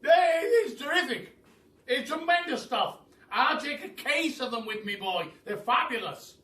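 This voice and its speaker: An excitable old aristocrat from a children's storybook, acted by a man. He exclaims loudly and high, with forceful delivery. The sound is roomy and set back from the mic. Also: measured pace, heavily acted. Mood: delighted. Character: exuberant old Duke, booming and excitable. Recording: roomy echo, distant mic.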